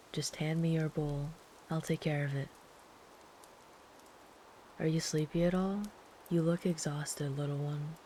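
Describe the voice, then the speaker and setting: A woman voicing a big, gentle, protective traveler camping in the woods, speaking softly and soothingly, sometimes in a whisper, as ASMR comfort roleplay. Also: low voice, a little hiss or hum, low-quality recording. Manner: soft, soothing, calm, at times whispered.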